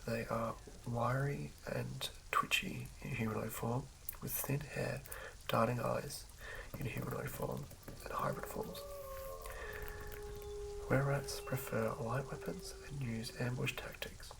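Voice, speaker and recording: Breathy male whisper, very gravelly fry, soothing ASMR reading, lo-fi recording with clear noise. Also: medium pitch, measured pace. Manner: soothing, hushed, stumbles over words while reading. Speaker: ASMR host. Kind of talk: whispered ASMR reading of fantasy game lore.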